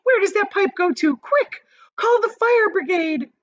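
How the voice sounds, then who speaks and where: A hysterical, bossy mother from a children's storybook. She shrieks high and indignant, with wild swoops. The recording is slightly dull. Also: expressive, a little slow, dry recording. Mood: frantic.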